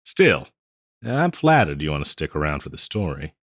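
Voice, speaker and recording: Man acting as old frontier barkeep, low-pitched, dry close sound, folksy, rambling, gently teasing, audio roleplay. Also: very animated, moderate pace, dry recording, full character voice. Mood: teasing, kindly. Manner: folksy, rambling, chuckling drawl with hesitations. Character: folksy, teasing old Western bartender, ex-drifter singer. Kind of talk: intimate scripted roleplay audio, addressed to listener.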